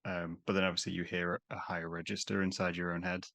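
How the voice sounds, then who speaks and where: A man's voice: deep voice, little pitch movement, fast, creaky, fairly bright tone, dry recording. A man hosting a podcast with a nasal voice, chatting in an easygoing, self-deprecating way over a video call. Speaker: podcast host. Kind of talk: casual interview chat.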